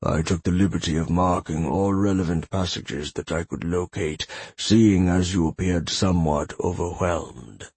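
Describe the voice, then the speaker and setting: Male narrator as aged fantasy librarian: very low, raspy, formal, curt, dryly smug, dry close sound. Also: fairly even intonation, normal speed, few pauses, a little mellow, dry recording. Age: older. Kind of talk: audiobook character voice.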